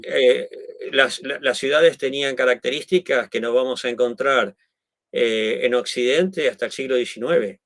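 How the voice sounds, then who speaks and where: A man's voice: medium pitch, moderate pace, dry recording, studio quality. A middle-aged man from Argentina with an Argentine Spanish accent, explaining things in conversation with many restarts and tag questions. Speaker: guest.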